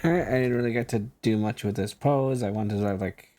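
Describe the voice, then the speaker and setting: A man's voice: on the low side, a little fast, warm tone. A man giving casual playthrough commentary as the host of a gaming channel.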